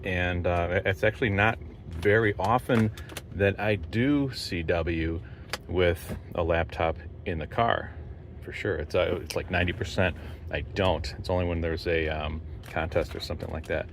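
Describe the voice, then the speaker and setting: Low-pitched man, rambling vlog, noisy background. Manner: rambling, halting, thinking aloud. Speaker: amateur radio operator making a vlog. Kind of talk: casual unscripted video walkthrough. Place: in and around a parked truck. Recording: steady background noise of car and outdoors.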